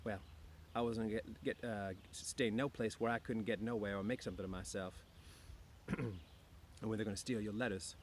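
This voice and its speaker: A man's voice: lowish voice, fairly even intonation, moderate pace, slight background noise, close to an everyday voice. A man voicing a young, dreamy, discontented small-town wife with rambling, slangy speech in an audiobook. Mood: boastful, wistful. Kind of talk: audiobook character voice.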